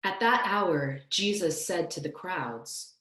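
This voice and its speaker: A young woman reads scripture as a solemn narrator. Her voice is quite low and her pace very unhurried. The sound is a roomy video call from home. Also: animated, sharp tone. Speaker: clergywoman, narrator of a scripture reading. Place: small home room, indoors. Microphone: video call, computer microphone.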